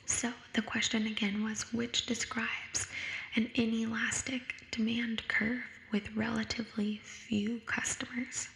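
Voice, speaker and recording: A young woman whispering in an ASMR style, reading study questions aloud in a hushed, unhurried manner, with an echo effect on her voice. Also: on the high side, little pitch movement, gravelly. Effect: echo sound effect. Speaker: ASMR creator. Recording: echoing whisper recording.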